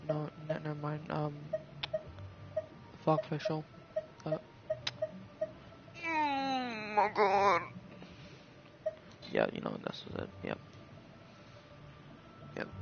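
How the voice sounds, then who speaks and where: A man's voice: medium pitch, vocal fry, fairly bright tone, lo-fi sound, slight background noise. A man giving casual game commentary, slangy and sweary, often muttering and repeating himself. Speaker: game streamer.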